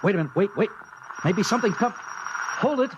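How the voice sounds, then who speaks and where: An actor playing a blunt, sardonic navigator and radio officer on a spaceship, with clipped calls and biting sarcasm, in old-time radio drama style. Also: male voice, high voice, animated, brisk, very noisy. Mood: tense. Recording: dull vintage radio recording.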